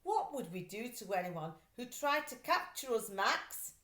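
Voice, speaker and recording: A woman's voice: on the high side, very animated, measured pace, a bit bright. A woman voicing a brash, self-satisfied male art thief in a showy storybook manner. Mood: boastful. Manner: showy, boastful. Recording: roomy echo.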